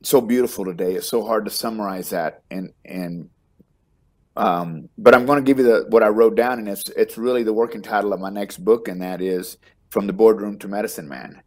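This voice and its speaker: Middle-aged man with a Texas drawl, speaking in a lowish voice over a home video call. He talks in a loose, rambling way, often doubling words as he gets going. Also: steady tone, brisk. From Texas, United States. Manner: warm, rambling, repeats words when starting sentences. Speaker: guest. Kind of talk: casual interview chat.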